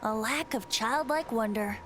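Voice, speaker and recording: Lady voicing a playful teasing boy bard in a game, high lilting voice, music behind. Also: unhurried, natural voice. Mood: musing, playful. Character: boyish young male wind bard, playful and teasing. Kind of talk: video game character acting.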